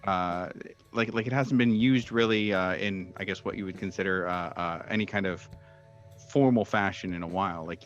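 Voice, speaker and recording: A man running a horror tabletop game as game master, improvising his descriptions haltingly and searching for words. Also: on the low side, a bit bright. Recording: dull, muffled sound with music behind. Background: background music.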